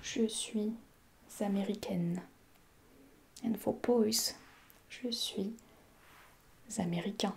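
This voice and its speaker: A French woman, a native French speaker with a French accent, softly whispering a patient ASMR roleplay lesson as a French teacher. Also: medium pitch, fairly even intonation, slow pace, vocal fry, long pauses, a little hiss or hum. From France. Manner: soft whispering, patient repetition of phrases.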